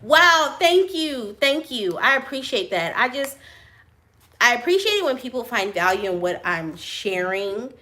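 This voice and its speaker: A small business owner answering viewer questions on a live stream, loud and animated, laughing often, with a high voice she compares to a cartoon mouse. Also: a little slow. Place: her print shop office.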